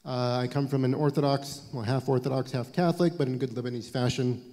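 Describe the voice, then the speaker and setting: A man in his forties, speaking on a panel in a halting, thoughtful way with frequent restarts, in an event hall. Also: medium pitch, steady tone, warm tone. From United States. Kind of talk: panel talk. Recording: long reverb of a lecture hall.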